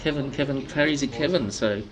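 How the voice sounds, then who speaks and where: A man hosting a livestream, reading a casual news roundup and chatting with guests in a relaxed way, full of ums and uhs. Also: medium pitch, normal speed, low-quality recording. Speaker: livestream host. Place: busy coffee shop. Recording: café crowd chatter behind.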